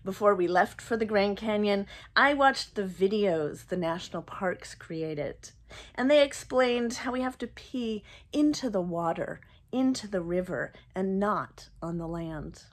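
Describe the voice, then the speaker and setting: A woman in her fifties reading her own first-person story aloud expressively, with measured delivery and dramatic pauses. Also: mid-range voice, lively intonation. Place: small indoor room, plain wall.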